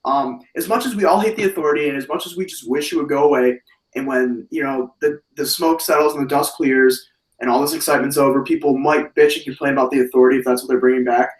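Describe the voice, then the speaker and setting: A man with a hoarse voice hosting a casual wrestling review, talking in an animated, rambling way with many fillers and restarts. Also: medium pitch, quick pace, echoey room, distant mic, low-quality recording. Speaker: wrestling podcast host.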